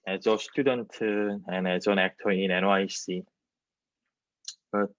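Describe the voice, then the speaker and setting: Young male, Korean accent, student actor delivering a halting monologue over lo-fi, dry video-call audio. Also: lowish voice, no room sound. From South Korea. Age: 20s. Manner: halting English with pauses. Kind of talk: dramatic reading of self-written monologue. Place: small plain indoor room. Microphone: wireless earbuds on a video call.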